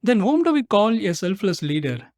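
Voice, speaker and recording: A podcast host, a man, asking slow, measured questions. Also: sits high, big pitch swings, darkish tone, no room sound, studio quality. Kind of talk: interview questions.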